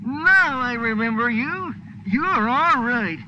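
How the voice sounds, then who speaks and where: High-pitched, folksy old rummy sidekick. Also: male voice, takes it slowly, exaggerated voice. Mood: puzzled, friendly. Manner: folksy, rambling, excitable. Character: old, simple, good-hearted rummy sidekick. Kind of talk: 1940s radio drama acting. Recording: noisy, muffled old radio recording.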